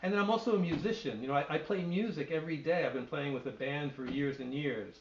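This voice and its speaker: A man's voice: on the high side, fast talker. A middle-aged man, a translator, answering questions on a video call in a thoughtful way, often restarting as he searches for words. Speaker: literary translator and poet. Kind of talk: answering questions at an online reading. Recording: echoey, dull lo-fi call audio.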